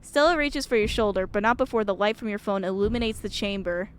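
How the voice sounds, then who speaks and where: A woman's voice: mid-range voice, fast, a little hiss or hum. A woman streamer reading a visual novel aloud and reacting expressively as she goes.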